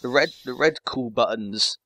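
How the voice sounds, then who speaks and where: A man's voice: medium pitch, moderate pace, sharp tone, a little hiss or hum. A man giving casual, chatty game commentary with offhand muttered asides. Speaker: let's play commentator.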